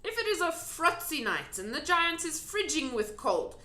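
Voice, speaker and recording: A woman voicing a friendly old male giant in a children's storybook, excitable and emphatic, with quaintly muddled grammar. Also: sits high, normal speed, pitched above the performer's own voice, exaggerated voice. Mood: gleeful explaining. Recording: echoey room.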